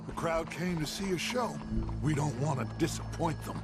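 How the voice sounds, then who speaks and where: An actor playing a seasoned outlaw gang leader in a western video game, speaking with deliberate, persuasive authority in a gravelly voice. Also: male voice, a little high, natural voice. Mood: sly, composed. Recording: music behind the voice.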